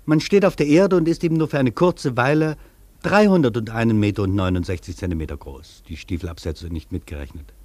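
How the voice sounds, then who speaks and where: A male actor playing a reflective traveller, delivering a literary radio monologue in a measured, poetic, declamatory way. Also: medium pitch, darkish tone, no room sound. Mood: awed, contemplative. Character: reflective male traveller marvelling at a vast foreign city. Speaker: actor reading a traveller's first-person account in a radio feature.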